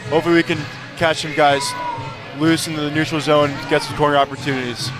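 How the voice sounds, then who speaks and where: A man's voice: on the high side, flat delivery, fast, over music, crowd noise, poor audio. A man giving conversational, analytical color commentary on a live hockey radio broadcast.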